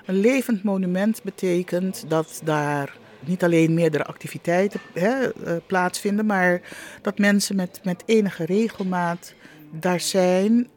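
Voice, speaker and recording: Halting woman interview guest. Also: lowish voice, a little mellow, faint music behind. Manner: halting, repeats words for emphasis. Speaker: interview guest, monument project leader. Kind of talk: spontaneous interview answer.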